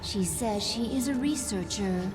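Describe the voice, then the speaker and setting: An actress voicing a loyal, businesslike military adviser in a video game, with a measured, deferential manner. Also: female voice, mid-range voice, lightly acted. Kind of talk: dramatic video game acting. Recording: loud game music over voice.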